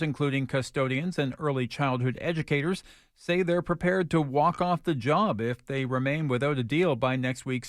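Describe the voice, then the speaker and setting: A man's voice: mid-range voice, no echo, studio quality. A man reading scripted news as a radio anchor. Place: radio studio. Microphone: close studio microphone.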